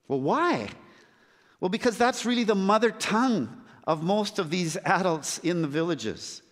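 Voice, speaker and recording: Man, missionary preacher, earnest sermon, slightly high voice, long reverb of a large hall. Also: a bit bright. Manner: earnest, with measured emphatic pauses.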